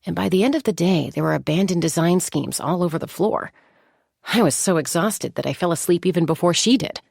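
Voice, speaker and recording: An actress playing a composed, confident young warrior woman in a video game, speaking in a measured, articulate and reflective way. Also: on the low side, vocal fry, darkish tone, husky, dry recording, clean studio sound. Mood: wry, fond. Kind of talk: scripted game character monologue.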